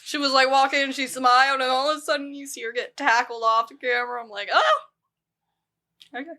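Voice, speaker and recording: A woman's voice: high voice, fairly even intonation, brisk, bright, forceful tone. A woman co-hosting a casual podcast, asking curious questions in conversation. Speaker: podcast co-host.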